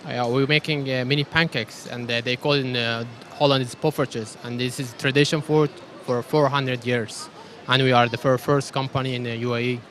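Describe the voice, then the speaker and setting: A man's voice: medium pitch, flat delivery, moderate pace, fairly bright tone. Man with broken English, faint noise behind. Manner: non-native, simple broken English. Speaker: food vendor interviewee. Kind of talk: unscripted interview.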